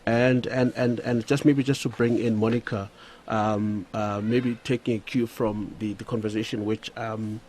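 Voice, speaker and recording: A man's voice: on the low side, level tone, normal speed, a little mellow, a little hiss or hum. A man hosting a radio interview, speaking with frequent hesitant fillers. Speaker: radio show host.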